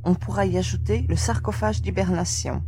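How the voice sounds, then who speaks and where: A woman's voice: lowish voice, normal speed, warm tone, a little hiss or hum, natural voice. A woman playing a practical, level-headed young member of a spaceship crew in a science fiction audio drama.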